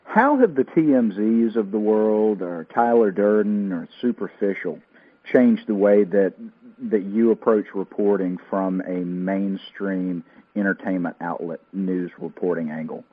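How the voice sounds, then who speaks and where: A man's voice: lowish voice, unhurried, breathy edge, phone-quality sound. A man hosting a radio interview, conversational and asking follow-up questions. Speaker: radio host.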